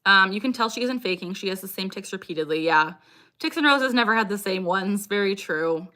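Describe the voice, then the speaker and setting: A woman's voice: mid-range voice, expressive, brisk, pushed and bright. A young adult woman hosting a casual livestream Q&A, speaking frankly and informally, with sudden repeated vocal tics breaking into her talk. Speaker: livestream host, content creator. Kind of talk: casual livestream Q&A chat, answering viewer comments.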